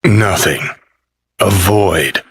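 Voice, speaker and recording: A man playing a jaded, world-weary rebel rockstar's digital ghost in a video game, speaking in a deliberate, introspective way. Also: low-pitched, heavily acted. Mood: brooding, reflective. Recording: long reverb, clear vehicle-like ambient noise.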